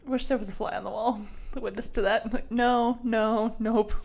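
A woman's voice: fairly high pitch, fairly even intonation, moderate pace, vocal fry, darkish tone. A woman co-hosting a casual podcast, answering her co-host with teasing quips.

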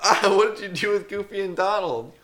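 A man reads as a cheeky, naive young game hero. The voice is bright and forward, pitched somewhat high. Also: a little fast. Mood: dismayed.

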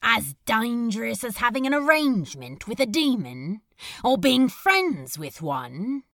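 Woman voicing a male demon, sardonic, brooding, creaky voice, swooping pitch, fan audio drama. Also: very animated, a little slow, a bit bright, no echo, clean studio sound, full character voice. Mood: taunting. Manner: sardonic, challenging. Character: brooding, taunting male demon, wounded and defiant.

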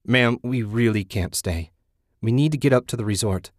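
A man's voice: medium pitch, normal speed, dry recording, studio quality, close to an everyday voice. A man voicing a wary, agitated young husband in a horror audiobook, emphatic and exasperated. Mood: anxious, impatient.

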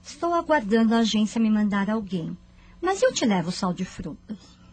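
An actress playing a gossipy, helpful office secretary in a radio soap, chatty and matter-of-fact. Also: female voice, medium pitch, sing-song, moderate pace, darkish tone.

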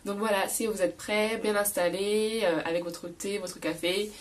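A young woman with a cold, slightly hoarse and nasal, hosting a relaxed spoken French lesson with many fillers and careful repetition. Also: medium pitch, distant mic, slight background noise. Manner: frequent fillers, repeats key words slowly. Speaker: podcast host teaching French.

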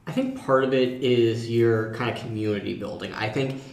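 A man co-hosts a casual podcast discussion in an echoey room. His voice is of middle pitch for a man. He lays out his points conversationally, with examples. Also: fairly even intonation, moderate pace, gravelly. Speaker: podcast co-host.

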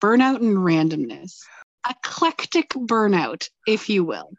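A woman's voice: mid-range voice, big pitch swings, a little slow, no echo, lo-fi sound. A woman co-hosting a podcast in casual chat, joking drowsily about herself. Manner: self-deprecating, drowsy joking. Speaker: podcast co-host.

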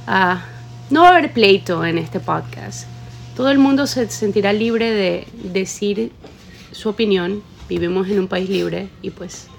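A young woman from Ecuador speaks in a relaxed, easygoing way. Her Ecuadorian accent is clear, and she slips English words into her talk now and then. A faint background hum sits under her voice. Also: medium pitch. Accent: Ecuadorian Spanish. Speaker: podcast co-host. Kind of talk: casual chat. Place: kitchen break room.

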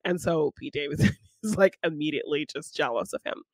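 A woman's voice: deep voice, a little fast, no echo, poor audio. Giggly woman chatting casually about films. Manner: giggly, acts out characters' lines. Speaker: podcast co-host discussing films. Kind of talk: casual film chat, retelling scenes.

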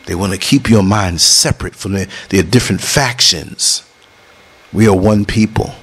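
Vlogger, middle-aged man, casual but preachy, leaps between high and low tones. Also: normal speed, slightly rough. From New York City. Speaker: vlogger and podcast host. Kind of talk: casual talk over concert footage.

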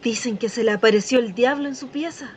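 A woman's voice: a little high, a little fast, a little hiss or hum, natural voice. A woman playing a prying, chatty small-town Chilean gossip in a naturalistic radio drama. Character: nosy, gossiping small-town female coworker.

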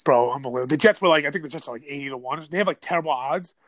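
A male podcast host talks fast in a high, bright voice, sniffly from a cold. He is excitable and slangy, and the sound is close and dry on his microphone. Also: no echo. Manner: excitable, slangy, says "bro" a lot. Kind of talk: casual sports podcast chat.

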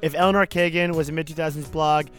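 A man with a fairly high voice co-hosts a casual podcast, firing off sarcastic jokes and ad-libbed thanks. Faint music plays underneath. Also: fairly even intonation, fast talker, fairly bright tone. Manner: sarcastic, jokey. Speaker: podcast co-host. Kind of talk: casual comedic podcast banter.

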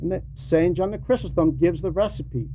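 A man giving a sermon in a halting, explanatory and admonishing way, with conversational asides. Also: sits high, mellow. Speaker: preacher reading a translated homily. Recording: dull lo-fi recording with faint noise.

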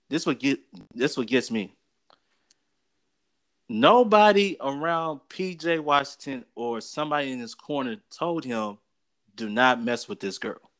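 An American man chats as a sports show co-host, with a mid-pitched voice. He is opinionated and emphatic, telling things in loose everyday speech and repeating his phrases to drive a point home. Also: lively intonation, takes it slowly, pauses often, a bit bright. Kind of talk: casual opinionated sports and gossip chat.

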